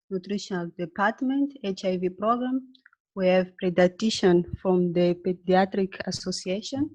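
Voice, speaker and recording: A woman's voice: medium pitch, a little mellow. Woman webinar presenter, hesitant English, dull call audio. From Mozambique. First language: Portuguese. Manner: halting, searching for words with many fillers.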